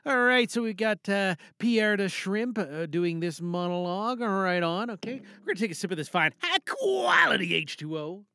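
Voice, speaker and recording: A man presents a podcast in casual, playful patter. His voice is high and creaky, recorded close and dry. He thinks aloud as he goes, like a small-time showman. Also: animated, moderate pace, hardly any pauses, bright, forceful tone, no room sound. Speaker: host and monologue performer.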